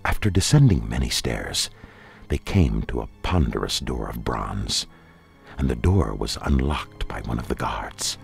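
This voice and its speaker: Extremely deep-voiced male fantasy narrator. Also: expressive, creaky, faint music behind. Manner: solemn, measured, deliberate. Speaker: audiobook narrator. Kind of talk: scripted dramatic narration of dark fantasy fiction.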